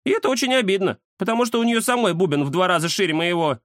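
Guy doing a cheeky chatty storybook tomcat, way high pitched, swoops all over, excitable. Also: rapid-fire, no room sound, clean studio sound. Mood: chatty, aggrieved. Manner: excitable, teasing. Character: cheeky chatty round-faced young male cat, mischievous.

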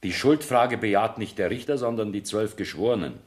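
Radio play casting: a man as a self-justifying high court judge, formal and measured, fairly deep voice. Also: natural voice. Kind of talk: 1970s German radio play acting.

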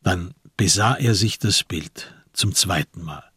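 A man reads scripted literary narration for a radio crime drama. His voice is low and very husky, recorded close and dry, and he tells the story in a measured way. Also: bright, forceful tone, dry recording.

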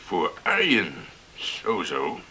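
A gruff, grudging boatman in a radio drama. His low voice is gravelly and swings animatedly as he slowly muses, turning questions back on the asker. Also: huge pitch swings, bright, forceful tone, full character voice. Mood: wary. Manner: musing, echoing questions back. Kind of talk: Dutch radio play acting.

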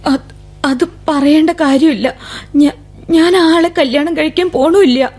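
A torn young daughter, very high voice, vintage radio drama. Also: female voice, measured pace, a little mellow. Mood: guarded. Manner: trembling, pleading, sobbing at times. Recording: old AM radio broadcast.

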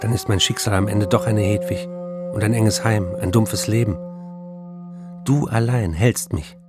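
An actor playing a tormented, addicted male writer in a radio play, delivering brooding, measured literary confessions. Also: lowish voice, steady tone, over music, natural voice. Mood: despairing.

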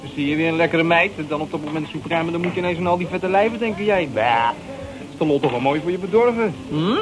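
An actor as a cocky, higher-voiced pub drinker. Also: male voice, quick pace, music in the background, close to an everyday voice, rough recording. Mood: mocking. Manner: sarcastic, boisterous, colloquial. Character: mocking, cocky, moody pub drinker, Ruud. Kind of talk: Dutch radio drama acting.

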